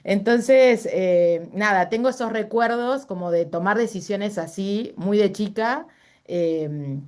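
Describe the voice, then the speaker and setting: A woman's voice: mid-range voice, slow, darkish tone. A middle-aged woman with a Uruguayan Spanish accent, speaking as a guest in a casual interview and telling personal stories with frequent fillers and self-corrections.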